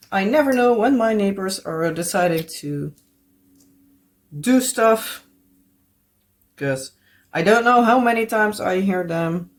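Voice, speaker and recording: Slow-talking Dutch woman rambling. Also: mid-range voice. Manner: rambling, searches for words, laughs often. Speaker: craft vlogger. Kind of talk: casual chat while crafting. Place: home.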